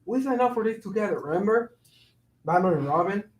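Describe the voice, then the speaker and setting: Man acting an insistent friend, high-pitched, echoey room. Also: expressive, a little fast. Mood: worried, insistent. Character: earnest, worried, insistent male friend. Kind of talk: staged play reading.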